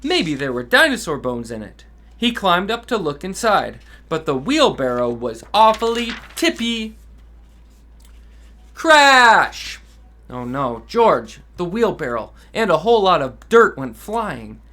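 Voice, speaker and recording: Upbeat dad storyteller for kids' read-alongs, expressive and lively in pitch. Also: male voice, takes it slowly. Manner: enthusiastic, expressive reading with asides to kids. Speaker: dad reading children's books aloud. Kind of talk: children's read-aloud storytelling.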